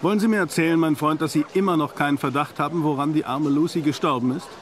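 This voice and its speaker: An actor playing a learned, insistent professor and vampire hunter in a radio play, with probing, emphatic delivery. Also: male voice, on the high side, fast talker, background noise, lightly acted. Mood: probing, lecturing. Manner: probing, emphatic, rhetorical questioning. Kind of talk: German radio play acting. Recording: radio play with loud background music.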